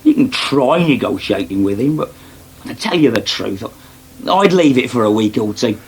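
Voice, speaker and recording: An actor playing a teasing, wily farm lad in a radio soap. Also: male voice, medium pitch, expressive, normal speed, slight background noise, natural voice, rough recording. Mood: grumbling. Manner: teasing, sly, needling. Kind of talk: British radio soap acting.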